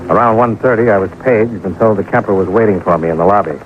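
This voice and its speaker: Through a tinny, faintly hissy old radio recording comes a man acting a hard-working investigator with a fairly low voice. He narrates and questions in a clipped, matter-of-fact way, persistent and dry, in classic 1950s detective drama style. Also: fairly even intonation, fast talker, close to an everyday voice. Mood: dry narration. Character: businesslike male insurance investigator, terse and persistent. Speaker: lead actor and narrator. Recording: noisy narrow-band old radio recording.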